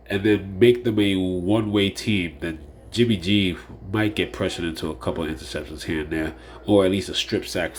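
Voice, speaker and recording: Low-voiced guy, kinda stuffed up and nasal, rambly, lots of uh and like i said, bit of background hum. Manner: rambling, repeats phrases, many fillers. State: nasal, slightly under the weather. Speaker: fantasy sports show host. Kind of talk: casual solo picks preview.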